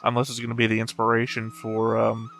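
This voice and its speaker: A man's voice: lowish voice, completely flat delivery, brisk, gravelly, fairly bright tone, soft background music. A man hosting a reaction video, giving casual off-the-cuff commentary in a musing, speculative way.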